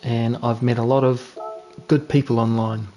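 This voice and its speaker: A man's voice: mid-range voice, flat delivery, normal speed, mellow, soft background music. A young man with a New Zealand accent giving laid-back, chatty commentary while streaming a racing game, joking at his own mistakes. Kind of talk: casual gameplay commentary.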